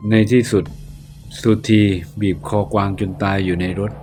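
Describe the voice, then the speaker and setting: A man voicing a weary, sorrowful old village man who tells a sad story slowly. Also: on the low side, fairly even intonation, darkish tone, faint music behind, lightly acted. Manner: slow, heavy, sorrowful telling.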